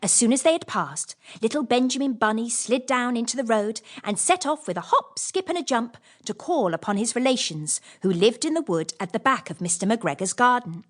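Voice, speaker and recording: Animated woman reading children's stories. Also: a little high, normal speed, hardly any pauses. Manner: expressive storytelling. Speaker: audiobook narrator. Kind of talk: scripted children's storybook narration.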